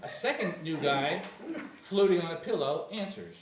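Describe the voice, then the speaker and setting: A man's voice: on the high side, lively intonation, moderate pace. A man reading screenplay stage directions aloud as narrator at a table reading, occasionally stumbling over words. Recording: echoey room, distant mic, faint noise.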